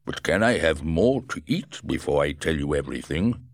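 A man's voice: rumbling low, expressive, moderate pace, dry recording, clean studio sound, exaggerated voice. A man voicing a wounded, desperate invisible scientist, strained and pleading.

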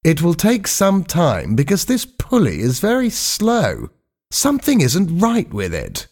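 Guy doing a sly storybook villain deckhand, slow drawl, sarcastic, pitched up. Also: huge pitch swings, darkish tone, breathy edge, heavily acted. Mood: dismissive. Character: malicious, sarcastic deckhand man, unhurried and defiant.

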